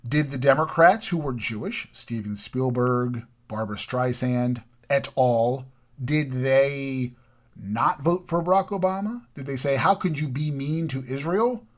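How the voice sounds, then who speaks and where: A man delivering an emphatic, opinionated political monologue, from the United States, repeating points and swinging between loud and quiet. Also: medium pitch, a little slow. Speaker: political commentary host.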